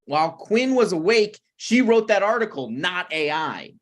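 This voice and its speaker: A man's voice: sits high, sing-song, normal speed. A man playing a stubborn, snarky broke writer with dry, needling delivery in a comedy table read. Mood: smug.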